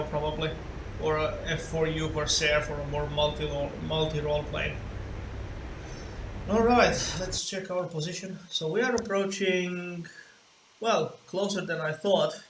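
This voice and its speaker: Distant gamer man thinking aloud. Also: fairly high pitch. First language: not English. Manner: thinking aloud, unsure of names, self-correcting. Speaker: flight sim gameplay commentator. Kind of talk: casual gameplay commentary. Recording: roomy, distant mic, loud hum.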